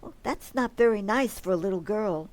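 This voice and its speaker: A woman playing a sensible, gently chiding mother in an old-time radio family comedy. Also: medium pitch, animated, normal speed, close to an everyday voice. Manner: gently reproving.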